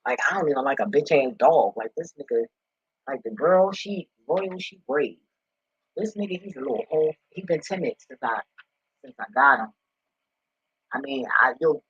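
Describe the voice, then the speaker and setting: A man with a husky voice talking casually and off the cuff, blunt and profane with streetwise slang, like an online spiritual commentator. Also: normal speed, a bit bright. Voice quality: husky, deep voice. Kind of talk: casual, unscripted rambling talk. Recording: roomy, dull lo-fi home recording.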